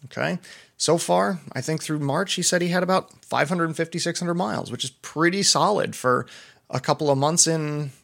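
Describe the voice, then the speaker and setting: A man's voice: a little high, normal speed, gravelly, a bit bright. Chatty, digressive man podcasting solo. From Pennsylvania. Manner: chatty, digressive, self-deprecating. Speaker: podcast host and doctor.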